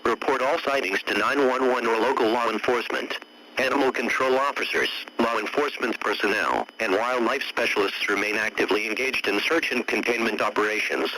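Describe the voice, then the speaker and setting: A man delivering a formal scripted emergency alert in a measured, official, instructive way. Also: medium pitch, background noise.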